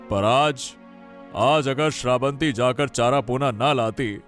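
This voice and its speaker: Cartoon patriarch, male actor: older, jovial, approving, very unhurried delivery, mid-range voice. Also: medium pitch, fairly bright tone. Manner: hearty, approving. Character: kindly, jovial elderly father of the family. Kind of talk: Hindi cartoon moral-story dubbing.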